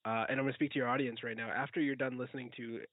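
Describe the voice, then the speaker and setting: Male podcast guest, brisk and talkative, medium pitch, dry narrow-band phone-like recording. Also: fairly even intonation, dry recording. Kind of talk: casual enthusiast commentary.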